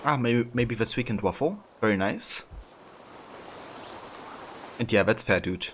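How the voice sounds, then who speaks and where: A man with a German accent, a native German speaker, chatting casually while streaming, relaxed and rambling with many fillers. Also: mid-range voice, unhurried, slight background noise. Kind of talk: casual live-stream commentary.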